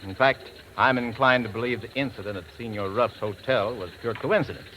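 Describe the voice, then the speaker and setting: A man's voice: mid-range voice, fairly quick, bright, forceful tone, close to an everyday voice. A man playing a courteous, reassuring doctor with formal speech in a vintage radio drama. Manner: formal, polite. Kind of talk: 1940s-50s radio drama acting. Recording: faintly noisy vintage radio recording.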